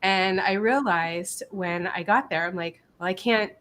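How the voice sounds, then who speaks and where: A woman's voice: mid-range voice, unhurried, fairly bright tone. Guest: woman, slightly scratchy from a cold, chatty, faint noise bed. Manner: chatty, laughing, many fillers and restarts. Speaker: guest, business coach. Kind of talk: casual live-stream interview.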